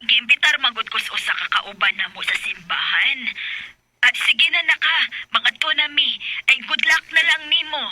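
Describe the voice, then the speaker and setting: A woman's voice: high voice, moderate pace, bright, forceful tone, a little hiss or hum, rough recording. An actress playing an apologetic mother calling her son, with a telephone effect, in a Bisaya radio drama. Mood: apologetic, hurried.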